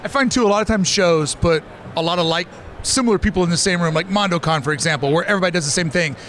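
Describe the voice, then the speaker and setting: A man hosting a casual interview at a convention, asking quick, upbeat questions. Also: sits high, fairly bright tone. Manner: fast, upbeat, chatty questions. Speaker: podcast host interviewing at a convention.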